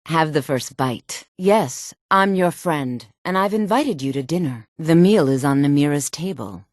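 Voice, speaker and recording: Low-voiced sultry, sly fantasy woman. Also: lively intonation, dry recording, studio quality. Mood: coaxing, predatory. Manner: smooth, deliberate, seductive delivery. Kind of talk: video game character dialogue.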